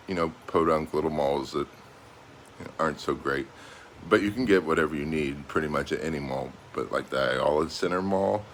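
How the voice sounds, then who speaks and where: A man's voice: a bass voice, fairly bright tone, a little hiss or hum. A middle-aged American man speaking casually and unscripted, as a vlogger talking to his viewers. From United States. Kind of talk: casual vlog monologue.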